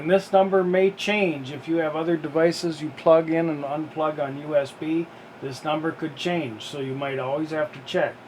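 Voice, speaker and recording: A man giving a casual how-to narration in a halting, step-by-step way, as an unboxing video presenter. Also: a little high, a little hiss or hum, poor audio.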